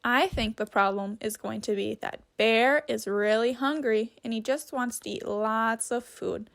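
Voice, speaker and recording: A woman reading a storybook aloud in a deliberate, instructive teacher's manner, pausing to ask questions. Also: on the high side, creaky, fairly bright tone.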